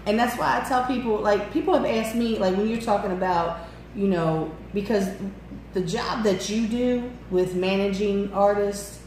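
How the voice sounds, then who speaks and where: A woman's voice: mid-range voice, moderate pace, darkish tone. A woman in her forties chatting casually, candid and emphatic, repeating points. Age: 40s. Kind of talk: casual chat. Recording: very echoey room with faint noise.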